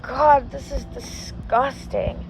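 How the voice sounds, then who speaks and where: A woman voicing a teasing, excitable young man in a zombie story read aloud. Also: high voice, slow pace, background noise. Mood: disgusted. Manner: teasing, casual, profane.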